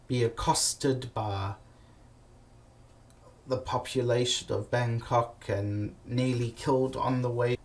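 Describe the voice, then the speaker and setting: A man telling comic stories about his travels, animated and profane, with many fillers and restarts. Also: medium pitch, vocal fry. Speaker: comedic storyteller.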